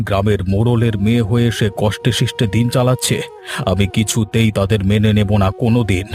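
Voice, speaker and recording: Man playing a low-voiced, proud village headman. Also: fairly even intonation, a little fast, a little mellow, faint music behind, natural voice. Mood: stern, indignant. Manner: stern, pompous. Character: proud, unbending middle-aged village headman, wronged father. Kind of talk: cartoon voice acting.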